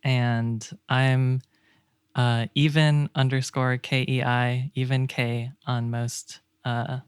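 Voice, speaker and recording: Smooth-voiced podcast guest, close and dry. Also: medium pitch, flat delivery, slow pace, no room sound. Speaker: game developer. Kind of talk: casual podcast discussion.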